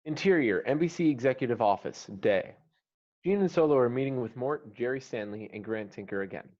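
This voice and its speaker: Guy reading out screenplay scene headings and action, mid pitch, slightly muffled lo-fi sound. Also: animated, fairly quick, a little mellow. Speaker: narrator reading stage directions. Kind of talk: scripted screenplay narration. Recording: slightly dull online call audio.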